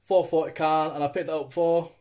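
A man in his thirties with a British accent and a slightly high voice. He talks informally and chattily, like a vlog host reviewing to camera at home. The sound is that of a phone a short way from him. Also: little pitch movement, a little fast, darkish tone. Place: small domestic room indoors. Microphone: phone propped at arm's length.

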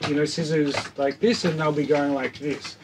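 Higher-pitched man explaining over outdoor noise. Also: flat delivery, normal speed. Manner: hands-on step-by-step explaining. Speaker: wakeboarder planning a stunt. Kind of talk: casual chat, explaining a plan. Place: open deck of an anchored catamaran, around a table. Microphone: camera a few feet away. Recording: clear outdoor background noise, clicks.

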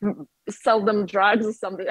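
A woman in her thirties with a Russian accent chats casually on a video call. She talks through earbuds with plenty of fillers and light laughter. Her voice sits at a middle pitch, and the sound is close and dry. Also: steady tone, moderate pace, no echo. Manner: chatty, laughs often, many fillers. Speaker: artist guest. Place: bright room with a wooden ceiling. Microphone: wireless earbuds on a video call.

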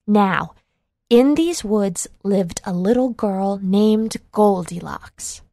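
A woman narrating a children's storybook in a measured, clearly enunciated read-along delivery. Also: medium pitch, a little mellow, dry recording, clean studio sound.

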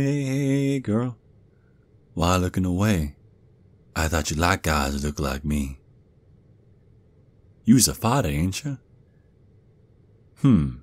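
A man's voice: extremely low pitch, dry recording, heavily acted. A man playing a menacing, mocking, protective werewolf father with a Southern US accent, drawling and taunting in an ASMR roleplay. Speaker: audio roleplay performer.

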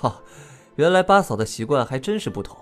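A man's voice: medium pitch, big pitch swings, a little fast, a bit bright, soft background music. A man playing an awkward, bemused young prince in an audio drama, speaking politely and hesitantly.

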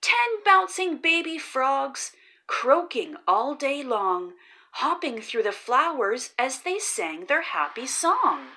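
Lady reading a kids book out loud, super slow and singsongy, bright voice. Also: sits high, sing-song, slight background noise. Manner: slow, sing-song reading with dramatic pauses before each number. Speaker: children's book reader, channel host. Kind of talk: read-aloud storytelling for kids.

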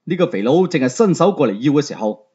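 A man's voice: fairly high pitch, very animated, fast, darkish tone, slightly rough, dull audio. A man with a Hong Kong Cantonese accent narrating a novel as a dramatic storyteller. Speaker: audiobook storyteller.

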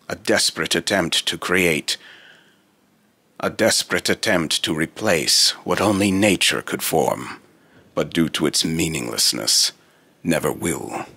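A man voicing a solemn robotic entity, speaking in a portentous, deliberate way with a gravelly, raspy voice. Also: extremely low pitch, bright, forceful tone, no echo, exaggerated voice. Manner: portentous, deliberate, repeats lines to retry them. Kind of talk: voice line recording.

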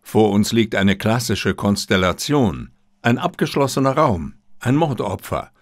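A man voicing a calm, drily teasing detective's companion in an audiobook, understated and gently insistent. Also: lowish voice, unhurried, no room sound, clean studio sound. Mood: insistent, correcting. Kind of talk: audiobook character reading.

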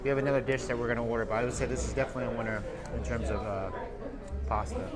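A man's voice: medium pitch, lively intonation, racing, over a noisy crowd. A man in his thirties filming a restaurant review vlog, handheld camera mic in a restaurant dining room, enthusiastic and repeating his praise. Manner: enthusiastic, repeats wow and very nice. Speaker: food vlogger. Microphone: handheld camera with small mic.

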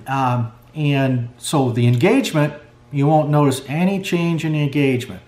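Middle-aged mechanic guy rambling through a rebuild, bit high voice, echoey garage. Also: normal speed, darkish tone. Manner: plainspoken, rambling with fillers. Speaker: snowmobile clutch mechanic. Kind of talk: hands-on workshop tutorial. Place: garage workbench. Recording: echoey workshop.

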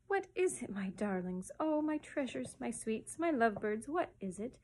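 A woman's voice: on the high side, big pitch swings, normal speed, darkish tone, a little hiss or hum. A woman voicing a fond, fussing mother, gushing and crooning, in a children's storybook reading. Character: fond, fussing, cooing mother of baby twins.

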